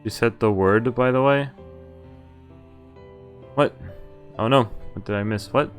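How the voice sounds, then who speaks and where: A man's voice: mid-range voice, unhurried. A man reading visual novel text aloud as a streamer, breaking off for informal, joking commentary. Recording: game music behind the voice.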